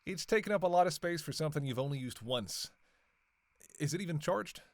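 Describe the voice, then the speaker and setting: A man's voice: fairly high pitch, animated, dry recording. A man playing a dry, sarcastic vampire. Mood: sardonic nitpicking. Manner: snarky, playful needling with drawn-out hesitations. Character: sarcastic, teasing male vampire on a road trip. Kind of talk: casual roleplay monologue.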